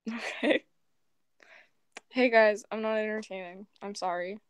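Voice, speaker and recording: Young woman, flat deadpan delivery, dry phone mic. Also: mid-range voice, measured pace, smooth, no room sound. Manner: flat, self-described monotone, mumbling. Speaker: guest. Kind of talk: casual chat.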